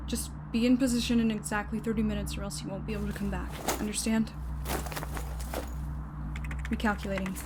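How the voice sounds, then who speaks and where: A woman's voice: mid-range voice, level tone, brisk, long pauses, close to an everyday voice. A woman playing a curt, no-nonsense operator of a time machine in an audio drama. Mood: brisk, commanding. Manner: curt, bossy. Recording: clearly noisy background.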